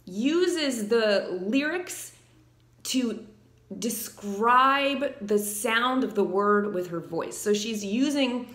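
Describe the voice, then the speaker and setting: A woman's voice: a little high, lively intonation, very slow, fairly bright tone. A woman in her thirties, a vocal coach, explaining technique and singing short examples in a living room on a lapel mic. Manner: explanatory, breaks into sung examples. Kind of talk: singing lesson with sung demonstrations. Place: living room with piano, indoors. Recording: roomy echo, faint music.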